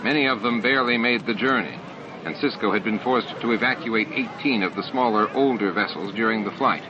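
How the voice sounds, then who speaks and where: A man with a fairly low voice narrates a science fiction audiobook. His reading is scripted narration. The recording is dull and lo-fi, with clear noise and added sound effects behind him. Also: a little fast. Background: added sound effects and ambience.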